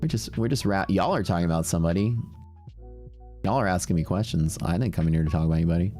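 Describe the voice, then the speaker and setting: A man's voice: sits low, fast talker, dark tone, soft background music. A man with a Texas accent, streaming live day trading and chatting casually and brashly with his viewers. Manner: casual, brash, talks to chat.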